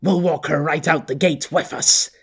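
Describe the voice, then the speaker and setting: A man voicing a blustering, boastful petty male gang boss who rants and bosses his crew around, with a husky voice. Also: a little high, steady tone, normal speed, a bit bright. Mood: bossy. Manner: boastful, bossy, rough-talking.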